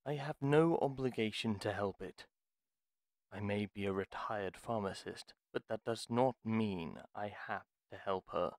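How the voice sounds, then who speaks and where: A man playing a gruff, wary retired pharmacist in a fantasy audio roleplay, speaking in a formal, deliberate, theatrical way. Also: lowish voice, no echo. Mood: irritated, reluctant. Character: gruff, reluctant retired male pharmacist, courteous but wary. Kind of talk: dramatic audio roleplay monologue.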